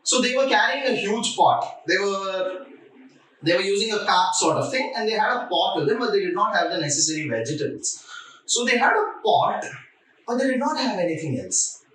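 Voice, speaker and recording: A man's voice: high voice, big pitch swings, normal speed, a bit bright, slight background noise. A man telling a story to children in a conversational, explanatory way, often repeating his phrases. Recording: very echoey room, distant mic.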